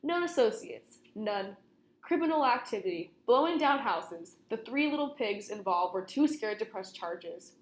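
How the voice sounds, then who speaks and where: A woman's voice: medium pitch, lively intonation, unhurried. A young woman reading a children's story aloud in the manner of a storytelling session for kids. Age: young adult. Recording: roomy, echoing room sound.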